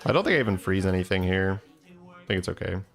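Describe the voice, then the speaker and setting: A man, a Dutch speaker, giving casual gameplay commentary as a streamer, thinking aloud in a low-key, musing way. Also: lively intonation, fast, gravelly, faint music behind. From Belgium.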